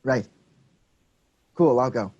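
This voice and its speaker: An actor playing an eager, deferential disciple who earnestly prods and flatters his boss in a comic play reading. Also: mid-range voice, slow, slightly rough, dull audio. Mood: agreeable.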